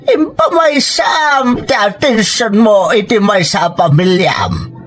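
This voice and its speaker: A woman's voice: mid-range voice, sing-song, slow, faint music behind. A woman playing a hurt, scolding old grandfather in a dramatized family story. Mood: reproachful. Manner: scolding, emphatic. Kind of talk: dramatized Ilocano family radio-style story.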